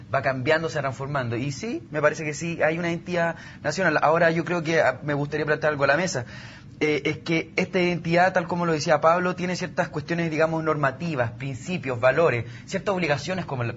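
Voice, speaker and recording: A man's voice: on the high side, brisk, a bit bright. A man with a Chilean accent discussing ideas on a panel in academic wording, often checking with small tag questions. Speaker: youth panelist. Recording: dull sound with faint background noise.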